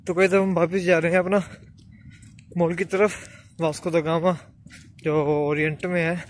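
A man's voice: fairly high pitch, flat delivery, normal speed, slight background noise. A young man casually narrating a travel vlog into his phone, chatting to viewers as guys, first outdoors by the sea and later at home. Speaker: vlogger.

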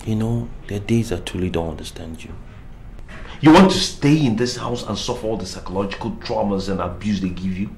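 An actor playing a frustrated young husband and son who pleads and breaks into angry outbursts. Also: male voice, fairly low pitch, a little fast, darkish tone, slight background noise, close to an everyday voice. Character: frustrated young family man. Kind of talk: film drama acting.

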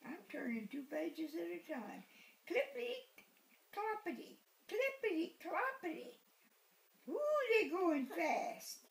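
A woman's voice: very high voice, big pitch swings, slow, creaky. An elderly woman in her eighties reading a picture book aloud to a small child, playfully repeating words and adding asides. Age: 80s. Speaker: great-grandmother reading to a baby. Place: living room sofa, indoors. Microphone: handheld camera a short distance away. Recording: roomy home recording with background noise.

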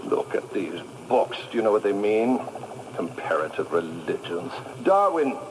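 A stern, fanatical father in a radio play. His voice is fairly low and his delivery domineering. It comes off a noisy AM radio cassette. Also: sing-song, a little slow. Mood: righteous anger. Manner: emphatic, domineering. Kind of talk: radio drama acting.

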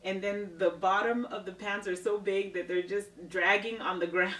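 A woman's voice: medium pitch, moderate pace. A woman in her thirties giving a casual, emphatic book review from her living room couch, often checking in with "okay?". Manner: animated, emphatic, tags sentences with "okay?". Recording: roomy, distant mic.

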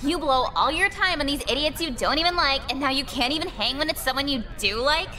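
A woman's voice: sits high, animated, a little fast, bright, forceful tone. An actress playing a pushy, insistent teenage schoolgirl in a visual novel game. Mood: frustrated. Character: pushy teenage schoolgirl, demanding best friend.

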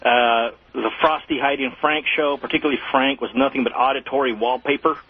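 An earnest male caller who stumbles over his words as he praises the host. His voice is somewhat husky. It comes through a thin, tinny phone line. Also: mid-range voice, fairly even intonation, a little fast.

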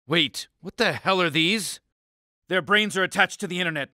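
A grumpy uptight cartoon alien boss, really high sharp voice, exasperated and pompous. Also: male voice, moderate pace, dry recording, studio quality, exaggerated voice. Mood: irritated, brisk. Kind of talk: animated cartoon game voice acting.

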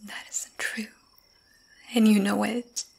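A woman playing a young, tormented, lovesick vampire in an ASMR roleplay, breathy and often whispering, halting and intense. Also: mid-range voice, level tone, a little mellow, exaggerated voice. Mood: pained, menacing. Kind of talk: ASMR roleplay, dramatic monologue.

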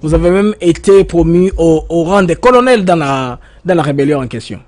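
A man interviewing a guest, pressing him with questions and filling pauses with hesitations. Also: a little high, animated, a little mellow. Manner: pressing, stammers and fills with euh.

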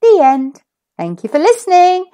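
A woman reading a children's story aloud expressively, as the host of a storytime. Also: very high voice. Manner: animated, deliberate.

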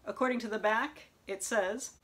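A young woman chatting casually about new books at home, sometimes reading back-cover blurbs aloud in an even tone, recorded by a camera close in front of her. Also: fairly high pitch, moderate pace. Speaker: book vlogger. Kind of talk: casual book haul chat with read-aloud blurbs. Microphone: camera in front at close range.

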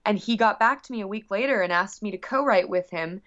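A woman with a mid-pitched voice talks as a musician guest in a casual interview. She is excitable and gushing, rambling from thought to thought. The sound is slightly dull and lo-fi. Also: fairly quick.

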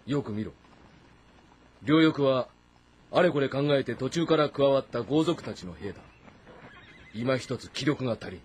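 An actor playing a stern, shrewd warlord in a historical game drama, giving authoritative, terse commands. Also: male voice, medium pitch, normal speed, pauses often, a little hiss or hum, lightly acted. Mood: stern, calculating. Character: stern, shrewd warlord commanding his army, instructing his son.

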